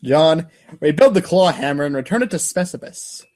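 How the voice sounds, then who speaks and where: A man's voice: on the high side, lively intonation, normal speed, darkish tone. A man narrating a comedic readers' theater piece in a mock-serious, theatrical way.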